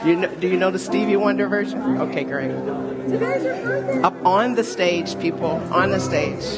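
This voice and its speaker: A woman's voice: fairly low pitch, moderate pace, a loud crowd. A woman leading a church service, speaking to the congregation with informal, playful asides. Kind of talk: warm-up announcements and call-and-response to a congregation. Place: large church hall, amplified to a congregation. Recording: echoey hall, loud music and congregation.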